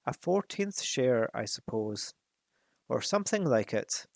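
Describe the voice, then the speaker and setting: A man voicing a huge, boastful, wicked old male dragon in a storybook reading, with a slow, gloating, menacing delivery. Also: mid-range voice, sing-song, vocal fry, no echo, studio quality, exaggerated voice. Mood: sly, mocking.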